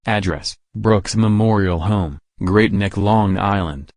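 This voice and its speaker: Radio play lead: genial, courtly old Santa played by a man, very low pitch, dry close sound. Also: slow, a little mellow, no echo. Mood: kindly, twinkling. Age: older. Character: kindly old gentleman Santa Claus and hearty store boss. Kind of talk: radio drama acting.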